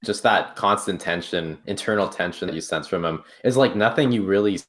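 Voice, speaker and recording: A young man co-hosting a podcast, talking casually about a film in halting phrases full of likes and restarts. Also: deep voice, a bit bright. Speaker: podcast co-host.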